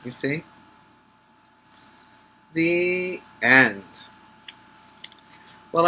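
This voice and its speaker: A man's voice: mid-range voice, sharp tone, slight background noise, low-quality recording. A male teacher reading a picture book aloud to preschoolers, adding instructive asides and short questions for the young listeners.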